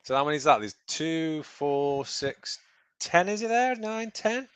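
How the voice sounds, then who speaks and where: A man's voice: on the high side, animated, measured pace, smooth, dry recording. An English man co-hosting a casual podcast chat, a bit nasal from a cold, joking around. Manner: joking, chatty. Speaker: podcast co-host.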